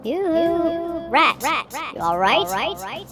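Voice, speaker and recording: A man voicing a squeaky hallucinated second head with a drawn-out, woozy delivery in an audio roleplay. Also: sing-song, music in the background, full character voice. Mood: mocking, concerned.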